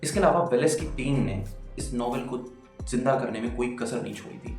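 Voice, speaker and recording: Male narrator, dramatic storytelling with pauses and rhetorical questions, roomy sound, light music underneath. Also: mid-range voice, darkish tone. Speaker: video narrator. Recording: roomy echo, music behind.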